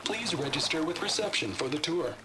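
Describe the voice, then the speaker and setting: Actor as high, lilting automated security announcer. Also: male voice, animated, a little fast, noisy background, lightly acted. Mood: officious, polite. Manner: formal, polished, impersonal courtesy. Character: automated male security announcer, polite, officious machine. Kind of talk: scripted facility announcement in a video game.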